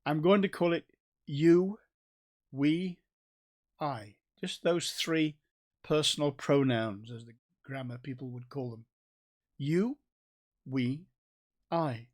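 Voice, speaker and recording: A man with a North Staffordshire accent giving a recorded Bible study in a conversational way, with restarts and gentle asides. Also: fairly high pitch, takes it slowly, long pauses. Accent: North Staffordshire English. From England. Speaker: Bible teacher.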